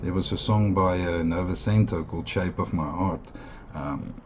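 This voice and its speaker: Very deep-voiced South African man reviewing gear. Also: noisy background. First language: Afrikaans. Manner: halting, many fillers and restarts, enthusiastic asides. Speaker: earphone reviewer. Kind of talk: casual product review.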